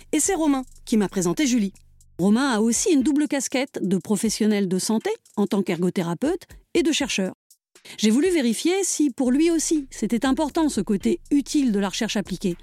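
A woman with a Parisian French accent narrates a podcast in a scripted, personal way, adding light humorous asides. Her voice sits fairly high and sounds mellow, recorded very dry and close. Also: no room sound.

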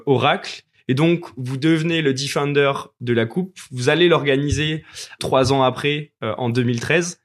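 A man in a mid-range voice asks interview questions in a relaxed, informal way. He pauses now and then with fillers as he frames his questions. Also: medium pitch. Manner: casual, informal, some hesitant fillers. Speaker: podcast host, interviewer.

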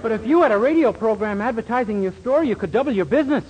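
An actor playing an innocent, earnest and polite young store clerk in a 1940s radio comedy. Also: male voice, high-pitched, lively intonation, fast, breathy edge, some chatter behind. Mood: earnest, hopeful. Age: young adult. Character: naive, polite, literal-minded young male store clerk. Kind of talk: 1940s radio sitcom acting. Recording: dull, noisy old 1940s radio recording.

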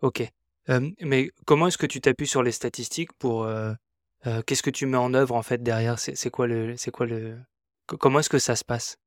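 A man's voice: mid-range voice, fairly even intonation, moderate pace, no room sound, clean studio sound. A man hosting a podcast, asking informal and somewhat hesitant questions. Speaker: podcast host. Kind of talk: podcast interview.

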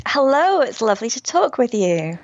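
A British woman, an author being interviewed, who talks warmly and laughs often. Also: on the high side, sing-song, moderate pace, no room sound, muffled recording. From Britain. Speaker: guest author. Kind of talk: casual interview.

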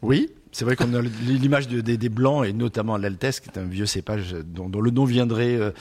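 A man's voice: medium pitch, fairly even intonation, normal speed, a little mellow, no echo. A man commenting as a sommelier on a radio discussion, thoughtful with many stammered restarts.

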